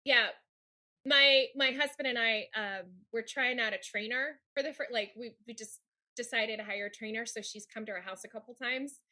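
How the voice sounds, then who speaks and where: A woman's voice: fairly high pitch, moderate pace, pushed and bright. A woman guest on a podcast, telling rambling stories in casual chat, with lots of fillers and laughs.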